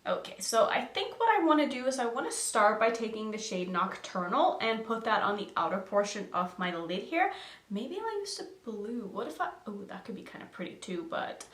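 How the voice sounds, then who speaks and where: A woman's voice: a little high, animated, normal speed, a bit bright. A young woman from Norway, a native Norwegian speaker, chatting casually and giggling as she thinks aloud through a makeup tutorial. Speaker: makeup vlogger. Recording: echoey room.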